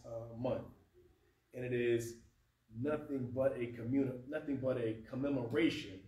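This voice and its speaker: A man in his thirties with a dry mouth from fasting, talking conversationally at a lectern in a carpeted prayer room. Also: medium pitch. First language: not Arabic. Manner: conversational, animated, gesturing at a lectern. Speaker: speaker teaching at a mosque. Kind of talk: informal teaching talk. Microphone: far camera. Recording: roomy, distant camera sound with faint hum.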